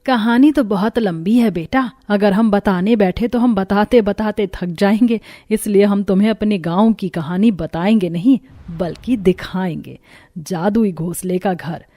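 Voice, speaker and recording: A woman's voice: mid-range voice, unhurried, dark tone, lightly acted. A woman playing a motherly village wife in a Hindi cartoon story, talking in an explanatory way. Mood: motherly, explaining.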